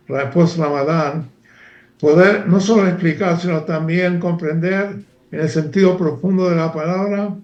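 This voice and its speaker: Older man, high voice, halting lecture style, echoey dull audio. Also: darkish tone. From Argentina. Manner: halting, many fillers and restarts. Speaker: guest analyst, former professor. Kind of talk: lecture-like interview talk.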